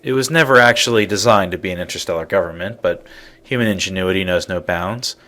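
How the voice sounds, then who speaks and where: A man's voice: deep voice, quick pace, sharp tone. An actor playing an articulate man in a space adventure video game, speaking in an explanatory manner.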